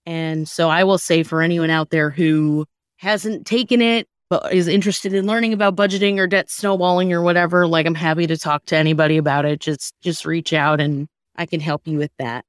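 A woman with a slightly low voice hosts in a casual, storytelling style. She talks candidly and colloquially, leaning on her key words. The sound is close and dry. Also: flat delivery, fairly quick, no echo. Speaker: podcast host introducing comedy sketches.

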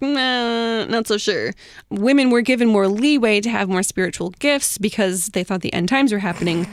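A woman's voice: medium pitch, dry recording. Woman podcaster telling history, close dry sound. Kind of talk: conversational storytelling from research.

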